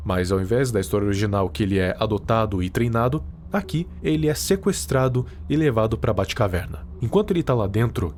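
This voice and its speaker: A Brazilian man narrates in a scripted video-essay style, slipping into casual phrasing as he goes. His voice sits at a middle pitch, and there is faint noise behind it. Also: darkish tone.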